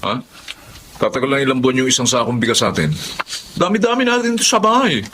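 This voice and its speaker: Radio drama role, played by a man: irritable older brother, gruff and bossy, tense bright voice, faint noise behind. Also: medium pitch, animated, quick pace, natural voice. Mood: angry.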